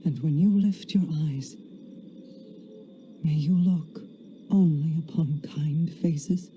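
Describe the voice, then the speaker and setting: An actress playing an ancient, regal and wise woman in a video game, speaking in a formal, poetic, measured way. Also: sits low, mellow, lo-fi sound, soft background music. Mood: solemn, consoling. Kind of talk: dramatic scripted game dialogue.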